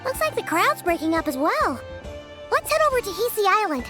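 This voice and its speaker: A small, childlike fairy companion from a video game. The voice is extremely high and swings up and down with cheerful, exclamatory energy. Music plays clearly underneath. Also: female voice, sing-song, brisk. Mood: chatty. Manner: perky, exclamatory, speaks of self in third person.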